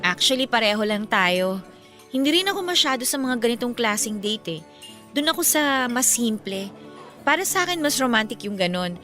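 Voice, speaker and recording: A woman's voice: fairly high pitch, lively intonation, moderate pace, pushed and bright, faint music behind. An actress playing a playful, affectionate young woman in a Filipino radio romance drama, with a teasing, conversational way of talking. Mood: sincere, curious.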